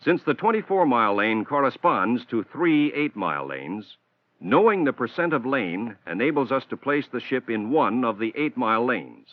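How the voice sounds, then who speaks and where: An American man reading a scripted instructional narration for a training film in a measured, formal, explanatory manner, on a 1960s film soundtrack. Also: medium pitch, dry recording. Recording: dull, band-limited 1960s film soundtrack.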